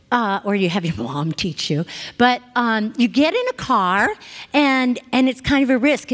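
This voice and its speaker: A middle-aged American woman giving an informal, conversational sermon as a reverend, teaching in an animated way and often checking in with her listeners. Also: high voice. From Hawaii, United States. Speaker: reverend giving a Sunday talk. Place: large indoor spiritual center, speaking to a congregation. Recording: reverberant hall sound.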